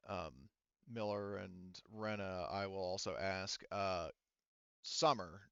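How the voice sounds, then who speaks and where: A man narrating a tabletop roleplaying game as game master, improvising in a halting, thinking-aloud way. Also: lowish voice, fairly bright tone, clean tone, dry recording, muffled recording.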